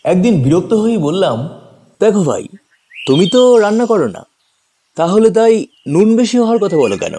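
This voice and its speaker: A man's voice: high-pitched, very animated, normal speed, long pauses, dark tone, a little hiss or hum. A man reading a scripted first-person story as a dramatic narrator. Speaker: story reader. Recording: long reverb, faint music behind.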